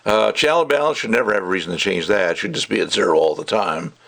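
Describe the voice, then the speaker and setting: A man's voice: lowish voice, quick pace, gravelly, a bit bright. A man giving a casual, rambling product review in an opinionated, emphatic way with conversational asides. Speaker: gadget reviewer.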